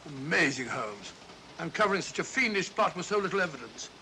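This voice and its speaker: High, blustery gentleman sidekick. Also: big pitch swings, fairly quick, slight background noise, exaggerated voice, rough recording. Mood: puzzled, admiring. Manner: exclamatory, puzzled. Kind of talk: 1940s film mystery acting.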